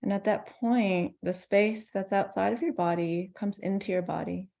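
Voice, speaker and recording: A woman teaching meditation, guiding listeners in a gentle, soothing manner with pauses between phrases. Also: mid-range voice, steady tone, creaky. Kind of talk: guided meditation.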